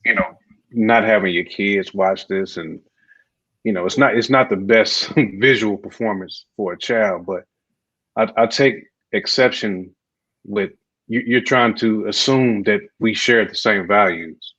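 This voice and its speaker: A man's voice: fairly low pitch, unhurried, a bit bright. Dull-sounding, opinionated man on a podcast. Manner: opinionated, colloquial. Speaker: podcast panelist. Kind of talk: casual panel discussion.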